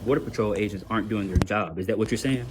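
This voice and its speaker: A man's voice: lowish voice, quick pace, slight background noise. A male panelist in a heated debate, pressing his point and firing off pointed questions. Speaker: guest panelist.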